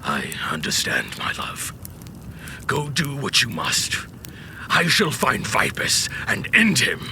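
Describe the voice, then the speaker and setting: A man's voice: mid-range voice, creaky, pushed and bright, slight background noise, full character voice. A man playing a proud, wrathful Titan warlord in a fantasy audio drama, with an imperious, commanding manner. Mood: grim, hushed.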